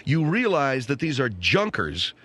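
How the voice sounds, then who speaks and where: Brief: brusque comedy radio host, man, mid pitch, lively inflection. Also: unhurried, breathy edge. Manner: dry, sarcastic, brusque. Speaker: radio talk show host.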